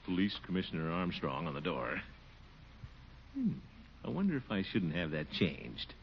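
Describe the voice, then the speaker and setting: Self-important blustering uncle, huge melodic swoops, chuckling pomposity, old radio comedy, dull hissy recording. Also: male voice, sits low, wildly swooping pitch, normal speed, vocal fry, full character voice. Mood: smug. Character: blustering self-important middle-aged uncle, pompous new police commissioner. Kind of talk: 1940s radio comedy acting. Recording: noisy, muffled 1940s radio recording.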